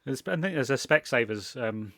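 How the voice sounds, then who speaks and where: A man from England hosts a relaxed chat, wandering through his points with restarts and chuckles. His voice carries a gravelly edge, recorded dry and close. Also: medium pitch, moderate pace, no echo. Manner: rambling, self-interrupting, laughs often. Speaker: podcast host. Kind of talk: casual chat.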